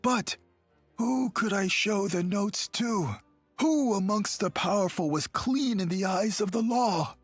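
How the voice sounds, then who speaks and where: A man playing an earnest, wronged young fugitive who narrates his past in a measured, dramatic way for a mystery game. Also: high-pitched, breathy edge, soft background music. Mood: earnest, grave.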